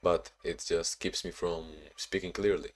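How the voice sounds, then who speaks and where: A young man with a very low voice talks to his camera in a loose, rambling way, often restarting his sentences. He is from Spain and Ukraine, is not a native English speaker, and sounds tired from little sleep. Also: little pitch movement, measured pace. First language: Spanish or Ukrainian. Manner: rambling, self-reflective, frequent restarts. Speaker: vlogger. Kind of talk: casual vlog talking to camera.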